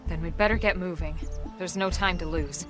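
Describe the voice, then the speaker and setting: An actress playing a calm, diplomatic and resolute squad commander in a video game, with composed authority. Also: female voice, lowish voice, moderate pace, lightly acted. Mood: thoughtful, decisive. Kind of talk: video game cutscene acting. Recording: loud music behind. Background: loud game soundtrack music.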